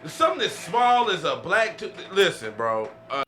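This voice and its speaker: A man's voice: high-pitched, big pitch swings, normal speed, fairly bright tone. A man hosting a casual podcast discussion, speaking emphatically and repeating phrases to press his point. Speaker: podcast host. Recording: faint background noise.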